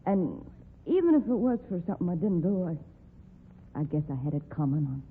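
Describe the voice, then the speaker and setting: A woman's voice: on the low side, sing-song, fairly quick. A woman playing a plucky young cowgirl with an American accent and folksy, emotive talk, in old-time radio drama style. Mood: contrite, tender. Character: plucky, devoted young cowgirl with rough country grammar. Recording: noisy, tinny old radio recording.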